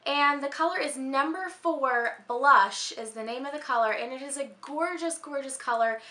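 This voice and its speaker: An American woman in her thirties chatting casually in a vlog, gushing a little, with a slightly hoarse voice as if losing it to a cold. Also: high voice, moderate pace, pushed and bright. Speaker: beauty and handbag vlogger. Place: small furnished room at home. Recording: roomy indoor echo.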